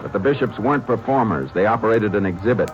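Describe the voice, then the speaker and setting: An actor playing a steady, dry-witted male Texas Ranger who narrates and talks in a calm, plain-spoken way, in an old-time radio drama. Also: fairly low pitch, fast talker, lightly acted. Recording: muffled old-time radio recording with music.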